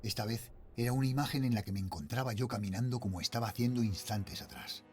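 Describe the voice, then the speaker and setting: A man's voice: mid-range voice, animated, quick pace, darkish tone, slight background noise, natural voice. A middle-aged man with a Spanish accent from Spain playing an uneasy night watchman and father, narrating a horror tale in a suspenseful, brooding way. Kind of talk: dramatic first-person horror narration.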